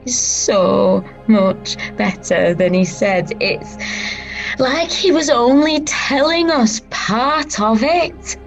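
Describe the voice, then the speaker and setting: A woman playing a grieving but resolute female disciple who recalls her rejection in a halting dramatic monologue with long pauses. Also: on the high side, soft background music, rough recording. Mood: pained, wondering.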